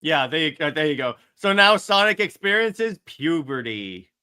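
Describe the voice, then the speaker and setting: A man's voice: on the high side, big pitch swings, normal speed, sharp tone, smooth. A man co-hosting a podcast, chatting casually about a movie and often chiming in to agree. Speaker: podcast co-host.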